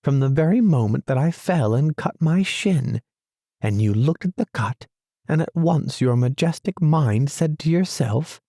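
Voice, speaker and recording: A man's voice: mid-range voice, big pitch swings, unhurried, dark tone, no room sound, clean studio sound, pitched above the performer's own voice. A man voicing a fussy, literal-minded male mole in a storybook reading, with a prim, pettish manner. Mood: admiring.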